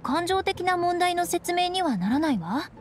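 Haughty, high-voiced lady knight. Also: very animated, moderate pace. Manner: cool, haughty, composed. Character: proud, aloof young noblewoman knight. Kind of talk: anime-style game voice acting. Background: background music.

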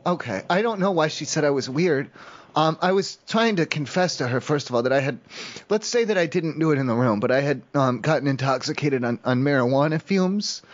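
A man making a prank call, rambling haltingly as a naive, stoned-sounding caller. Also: a little high, lively intonation. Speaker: prank caller, show host. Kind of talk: improvised prank phone call. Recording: muffled, dull lo-fi call audio.

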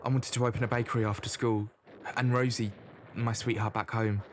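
A man's voice: level tone, a little fast, close to an everyday voice. A man playing a frightened, wounded eighteen-year-old private in a First World War audio drama, pleading and deferential. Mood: wistful. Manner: pleading, deferential to his officer. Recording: wartime scene, loud artillery rumble behind. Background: artillery fire and battlefield ambience.

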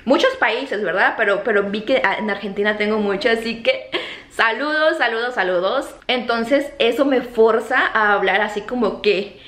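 A woman's voice: fairly high pitch, normal speed. Chatty Mexican woman vlogging in her kitchen. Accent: Mexican Spanish, softened toward neutral. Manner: chatty, animated hand gestures, many fillers and repeated words. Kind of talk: casual talk to camera. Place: home kitchen, indoors. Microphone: camera close to face. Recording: echoey kitchen, faint room hum.

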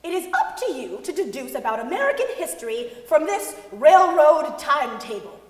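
Stern woman professor, acted by a woman, very high small voice, imperious and dry, stage reading in reverberant hall. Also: big pitch swings, moderate pace. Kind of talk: theatrical staged reading of quotations. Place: large wooden concert hall stage. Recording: echoey concert hall.

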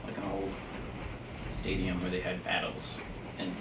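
A young American man with a very deep, low voice, bantering goofily and trailing off mid-thought. He sounds distant and roomy, caught from across a small storeroom by the camera mic. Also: monotone, a little slow. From United States. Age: young adult. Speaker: co-host. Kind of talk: casual joking chat. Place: small cluttered storeroom indoors. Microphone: far camera mic. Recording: echoey room, distant mic, faint hiss.

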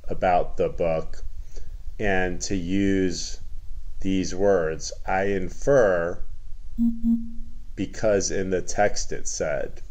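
A man explaining an assignment as a teacher, in a deliberate, careful manner. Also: low voice, steady tone, darkish tone, rough recording. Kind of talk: instructional screen explanation for students.

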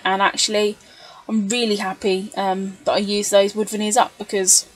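A woman's voice: mid-range voice, a bit bright, rough recording. A woman giving a casual, chatty, rambling voice-over for a craft video. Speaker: scrapbooker narrating craft video.

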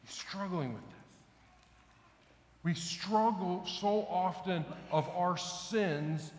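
A middle-aged male pastor, fairly high for a man, preaches in a loose, conversational way, asking questions of the congregation. His voice rings through a large church hall with a long, echoing tail and faint room noise behind it. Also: takes it slowly, a little mellow. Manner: conversational preaching, asks the congregation questions, gestures with raised hands. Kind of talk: sermon.

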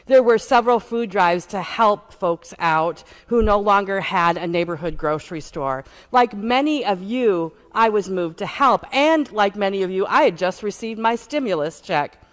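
A woman pastor preaches from a lectern, telling a personal story in a relaxed, conversational way. Her voice is mid-pitched and plain. A long reverb from the sanctuary trails her words. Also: moderate pace, few pauses. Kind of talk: sermon and unison prayer. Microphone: lectern microphone.

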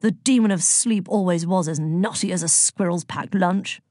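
A woman's voice: lowish voice, moderate pace, darkish tone, no echo, clean studio sound, lightly acted. A woman voicing a jovial, bluff old-fashioned male god with a hearty, roaring, pompous manner in a children's storybook. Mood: blustering.

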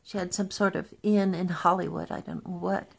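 A woman's voice: lowish voice, level tone, normal speed, gravelly. A woman in her sixties from Texas, an actress and screenwriter, recounting her life matter-of-factly to a close webcam at home. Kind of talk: candid documentary testimony.

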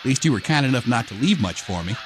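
A man's voice: mid-range voice, expressive, quick pace, noisy background, close to an everyday voice. A man playing a brash, wisecracking redneck with an in a comic radio play. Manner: folksy, wisecracking, exasperated. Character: brash, cranky redneck everyman, last human alive.

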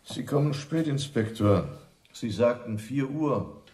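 An actor playing a cool, unscrupulous defence lawyer with a calculating manner, in radio crime drama style. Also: male voice, mid-range voice, expressive, slow pace, darkish tone, poor audio. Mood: cool, formal.

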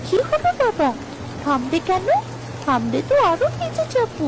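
A very high, lively little village girl played by a woman, pleading and childlike in a caring way. It is a cartoon voice over a noisy track with rain-like ambience. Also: moderate pace, exaggerated voice. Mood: coaxing. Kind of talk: Bengali cartoon voice acting.

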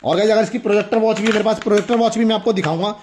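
A man's voice: high-pitched, very fast, a little mellow, a little hiss or hum. A young man hosting a casual toy review, demonstrating things enthusiastically and often repeating himself. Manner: enthusiastic, repetitive, exclaiming. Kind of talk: casual product demonstration to viewers.

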